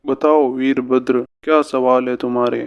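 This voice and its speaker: Cartoon village lad played by a man: earnest, curious, mid-pitched, clean resonant voice, slightly dull recording. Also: flat delivery, normal speed, clear, resonant tone, close to an everyday voice. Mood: earnest, resolute. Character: earnest, brave young village son, curious. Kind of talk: Hindi cartoon fairy-tale dub.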